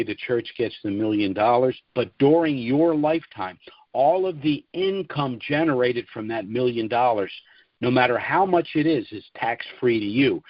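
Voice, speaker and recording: Call host, male, measured and businesslike, lo-fi dry audio. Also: medium pitch, no echo. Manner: businesslike, spells out contact details slowly. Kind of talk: conference call Q and A.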